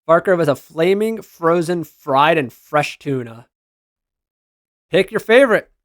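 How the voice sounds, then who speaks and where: A man's voice: fairly high pitch, lively intonation, measured pace, dry recording, studio quality. A man giving casual, slangy reaction commentary as a gaming content creator, responding aloud to what he reads.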